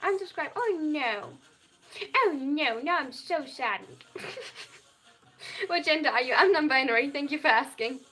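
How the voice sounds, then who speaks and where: Bright high feminine voice. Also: female voice, lively intonation, a little slow, long pauses. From Germany. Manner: reads viewer chat aloud and answers, tends to over-explain. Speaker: livestreamer. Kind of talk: casual live-stream chat.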